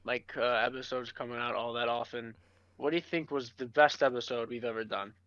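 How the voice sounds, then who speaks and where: A man's voice: mid-range voice, steady tone, fairly quick, fairly bright tone, dry recording. A man co-hosting a podcast with casual, blunt, slangy banter and free swearing, on a slightly dull microphone. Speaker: podcast co-host.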